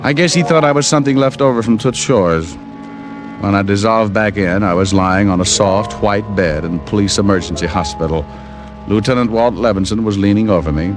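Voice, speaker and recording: Male lead actor as cynical gumshoe for vintage radio mystery: deepish pitch, wry wisecracks, dry delivery, prominent music underneath. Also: brisk, close to an everyday voice. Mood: wry narration. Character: wisecracking, cynical hard-boiled private detective, narrating his case. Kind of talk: old-time radio detective drama acting and narration.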